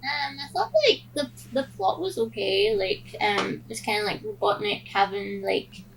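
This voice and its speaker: A woman's voice: medium pitch, measured pace, vocal fry, music in the background, rough recording. A woman co-hosting a podcast in casual chat, hesitant and peppering her sentences with filler. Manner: hesitant, heavy use of "like". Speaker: podcast host.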